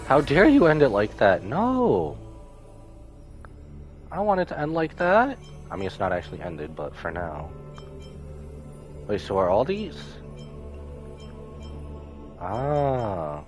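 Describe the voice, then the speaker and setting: A game streamer reacts live with a very animated, swinging voice. The delivery moves between casual commentary and dramatic exclamation, with game music behind it. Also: mid-range voice, slow pace, long pauses. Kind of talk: live gameplay reaction and commentary, reading some dialogue aloud. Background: video game music.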